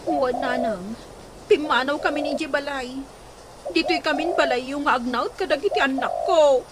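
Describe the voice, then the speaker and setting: A woman's voice: sits high, moderate pace, close to an everyday voice. A woman playing a long-suffering, plaintive wife and mother in a radio drama, with an Ilocano accent. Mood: hurt, tearful. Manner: plaintive, aggrieved. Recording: noisy background with birds and animals.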